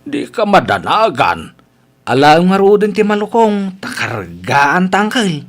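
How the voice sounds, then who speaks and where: Swooping, high-ish playful nephew, acted by a man. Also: huge pitch swings, a little slow, heavily acted. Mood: playful, wheedling. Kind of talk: Ilocano radio comedy drama acting.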